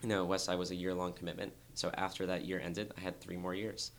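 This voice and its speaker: A young man, a dancer, talking earnestly and thoughtfully in an interview, with a very low voice and frequent restarts. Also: flat delivery, fast, slight background noise. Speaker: dancer guest. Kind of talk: reflective interview talk.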